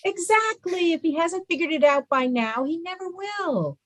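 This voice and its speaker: A woman's voice: very high-pitched, expressive, normal speed. A woman playing a coddling, sweetly placating mother of a grown son in a comedy table read. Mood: protective. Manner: sweetly coaxing, protective.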